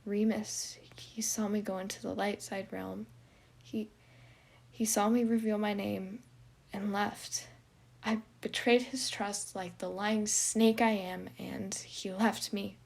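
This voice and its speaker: A woman voicing a frightened, self-loathing young man who pleads on the edge of tears, in a husky voice. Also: mid-range voice, flat delivery, slow pace, darkish tone. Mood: tearful.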